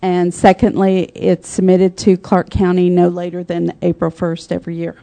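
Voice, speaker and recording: A woman, a financial services manager, giving a formal budget presentation at a public board meeting, soft-spoken with frequent ums. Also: on the low side, completely flat delivery, moderate pace, vocal fry.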